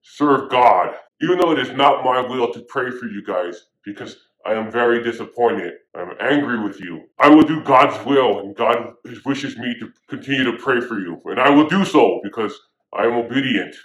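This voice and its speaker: A man's voice: medium pitch, close to an everyday voice. A man playing a stern prophet who scolds his people, preaching in a casual, modern way full of slang. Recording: echoey room.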